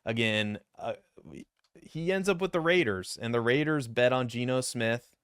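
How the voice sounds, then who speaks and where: A young man with a deep voice that now and then cracks high, casually talking through a fantasy football mock draft with rambling asides. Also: normal speed, fairly bright tone, clean tone, dry recording, clean studio sound. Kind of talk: solo mock draft commentary.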